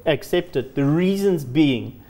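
A man's voice: fairly high pitch, animated, measured pace, warm tone. A man in his thirties debating as a guest analyst in a TV studio, explaining his case. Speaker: investment analyst, show guest. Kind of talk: unscripted market debate. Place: TV studio, standing.

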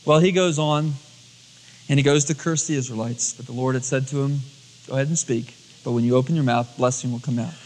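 A man's voice: medium pitch, fairly even intonation, a little fast, darkish tone, slight background noise. A man preaching a storytelling sermon as a pastor, acting out dialogue in everyday colloquial words. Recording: long hall reverb, cassette tape transfer.

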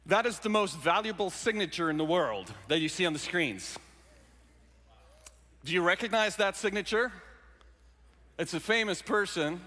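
Guy preaching, high bright voice, jokey, big church echo. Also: moderate pace, long pauses. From South Africa. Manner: jokey, animated storytelling. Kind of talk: sermon. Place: large church sanctuary. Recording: hall reverb in a sanctuary.

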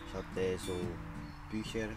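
Slow-speaking young Swiss man, halting. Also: low-pitched, level tone, loud music behind the voice. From Switzerland. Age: 20s. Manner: hesitant, measured, halting phrasing. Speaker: interview guest, pilgrim. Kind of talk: casual interview answers. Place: outdoors on a field path and at a garden table.

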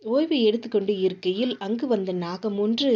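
A woman's voice: medium pitch, moderate pace, mellow. A woman telling a children's story as its narrator. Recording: dull lo-fi audio.

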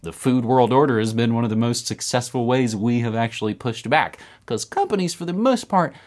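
A man in his thirties hosting a casual news roundup to camera from a room at home, animated and talking with his hands. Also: mid-range voice, brisk. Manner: animated, talks with his hands, upbeat asides. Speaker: podcast host. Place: home room with record shelves.

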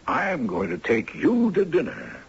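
An elderly retired judge for a 1970s radio play. His voice is rough and husky, with lively swings and a dry, courtly humor. The old recording is muffled. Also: mid-range voice, normal speed, full character voice. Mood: gallant. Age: older. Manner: dry, courtly, given to chuckles and hums. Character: wry, gallant retired judge in his late seventies. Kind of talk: 1970s radio drama acting.

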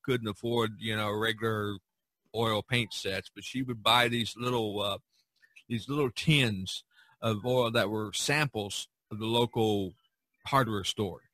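A man hosting a podcast, chatting casually and halting often with "you know". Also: lowish voice, fairly even intonation, pushed and bright, dry recording, low-quality recording. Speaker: podcast host. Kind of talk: casual chat, telling stories.

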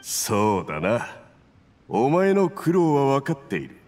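A man's voice: medium pitch, huge pitch swings, unhurried, a little mellow, big-room sound, a little hiss or hum. A man voicing a scheming, domineering male agent in an anime video game, smug, patronizing and commanding. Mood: patronizing praise. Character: scheming, domineering Fatui agent toasting his accomplice.

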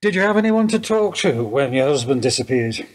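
An actor playing a sympathetic, measured police detective in a radio play. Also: male voice, medium pitch, big pitch swings, natural voice. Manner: measured questioning. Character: sympathetic male police detective questioning a widow. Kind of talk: radio drama dialogue.

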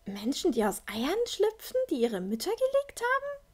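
A woman's voice: high voice, huge pitch swings, moderate pace. A woman playing a curious, squeamish young apprentice in a fan-made fantasy radio play, thinking aloud to herself. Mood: curious, musing. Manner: thinking aloud, with gasps and sounds of disgust.

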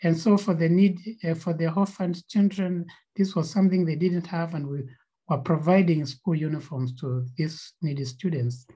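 A woman's voice: sits low, fairly even intonation, moderate pace, warm tone, slightly rough, poor audio. A woman with a Kenyan accent, co-founder of a nonprofit, presenting slides on a video call with frequent fillers and repeated words.

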